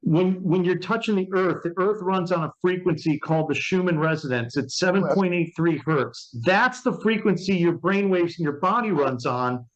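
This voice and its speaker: Man, fifties, high-pitched voice, emphatic motivational talker, conversational interviewer, dull muffled call audio. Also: normal speed, few pauses. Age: 50s. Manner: emphatic, motivational, repeats points. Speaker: interviewer and wellness product promoter. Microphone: remote call.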